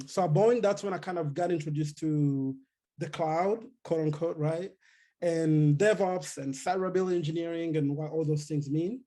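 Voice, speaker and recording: A man from Rwanda giving a relaxed talk on a video call, with many fillers and restarts. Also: a little high. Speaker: guest speaker. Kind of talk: casual technical talk.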